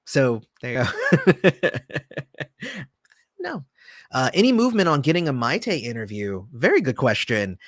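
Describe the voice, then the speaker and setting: A man hosting a casual livestream, answering viewer comments in a relaxed, rambling way with lots of fillers, often trailing off softly. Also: mid-range voice, a little slow, pauses often, no echo, studio quality. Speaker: channel host.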